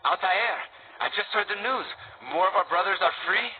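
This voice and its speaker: A man's voice: high-pitched, moderate pace, lightly acted. A man playing an earnest, anxious, loyal resistance informant in a video game. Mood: anxious, surprised. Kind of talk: video game character acting. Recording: tinny band-limited game audio, faint noise.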